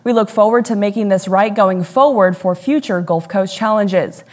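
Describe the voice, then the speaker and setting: A woman's voice: mid-range voice, moderate pace. A young adult woman delivering a scripted television news report in a studio.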